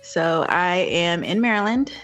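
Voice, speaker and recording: A woman's voice: fairly low pitch, expressive, unhurried, fairly bright tone. An American woman in her thirties, a podcast guest chatting casually. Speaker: podcast co-host appearing as guest, military servicewoman. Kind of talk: casual chat. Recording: music bed under speech. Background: music.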